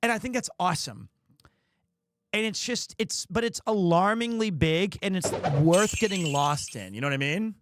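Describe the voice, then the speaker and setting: A man's voice: high-pitched, expressive, normal speed, noisy background. A man hosting a livestream, chatting casually in a rambling, opinionated and playfully defensive way. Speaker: live streamer, host of the show. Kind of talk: casual livestream chat with viewers.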